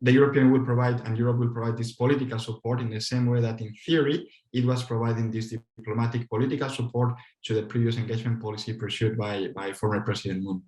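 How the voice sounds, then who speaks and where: A man speaking as a guest expert on a webinar panel over a video call, measured with some fillers. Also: medium pitch, flat delivery, a little mellow, reverberant, low-quality recording. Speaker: guest expert on Korea policy.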